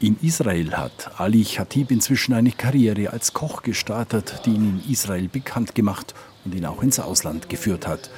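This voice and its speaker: A male reporter narrating a scripted news feature in a measured, matter-of-fact way. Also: fairly low pitch, expressive, darkish tone, a little hiss or hum.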